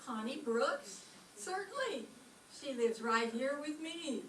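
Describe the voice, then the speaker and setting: Lady doing a helpful landlady in radio comedy, bit high, roomy sound w/ faint noise. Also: lively intonation, takes it slowly, fairly bright tone, full character voice. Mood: obliging. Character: cheerful, helpful landlady, Missus Davis. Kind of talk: old-time radio comedy acting. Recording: echoey room, distant mic, faint noise.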